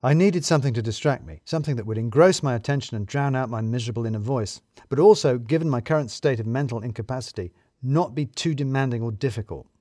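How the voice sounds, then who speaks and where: A man narrating a scripted first-person comic story in a wry, theatrically self-pitying way with deliberate emphasis. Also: mid-range voice, dark tone, no room sound, clean studio sound.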